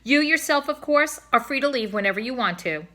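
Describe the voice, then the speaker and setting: A woman's voice: high-pitched, lively intonation, brisk, sharp tone, voice pushed up. A woman voicing a kindly but firm male zoo bird keeper in a storybook, speaking emphatically and persuasively. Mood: firm, insistent.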